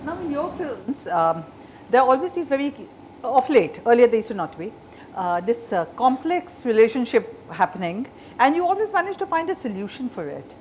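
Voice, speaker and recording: A woman, asking measured, probing interview questions and using fillers as she talks. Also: fairly high pitch, sing-song, darkish tone, slight background noise, low-quality recording.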